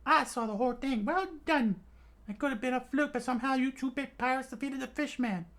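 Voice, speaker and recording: A man voicing a sneering, cowardly middle-aged navy captain with a squeaky cackling laugh. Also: brisk, fairly bright tone. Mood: gloating.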